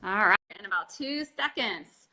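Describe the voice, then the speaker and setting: A woman's voice: medium pitch, lively intonation, unhurried, pushed and bright. A woman host interviewing a couple over a video call, chatty and enthusiastic. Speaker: interviewer and author.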